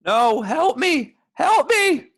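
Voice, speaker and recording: A woman's voice: sits high, very animated, slow pace, full character voice. A woman playing a wounded, terrified horror victim, crying out desperately in an amateur table read. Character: wounded, terrified young man screaming for help.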